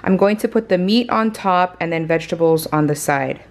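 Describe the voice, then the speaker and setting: A woman's voice: on the low side, normal speed, creaky. A woman chatting through her weekly meal prep, explaining each step to viewers as she cooks in her home kitchen. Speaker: cooking vlogger. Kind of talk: casual meal prep narration.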